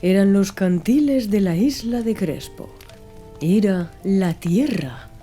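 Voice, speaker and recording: A woman reading a literary audiobook in a measured, clearly phrased storytelling manner. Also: mid-range voice, lively intonation, warm tone. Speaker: audiobook narrator. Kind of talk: scripted literary audiobook narration. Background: background music.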